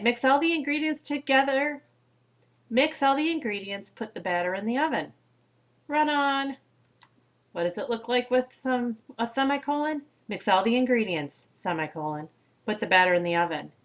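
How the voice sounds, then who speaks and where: A woman teaching a college writing class through a recorded slide lecture. Her talk is chatty and informal, and she drops in small asides and fillers as she explains. Her voice sits in a middle pitch. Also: fairly bright tone. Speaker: college English instructor.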